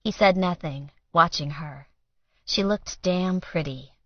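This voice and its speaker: A woman's voice: on the low side, slow, dry recording, highs cut off. A woman reading scripted fiction as an audiobook narrator.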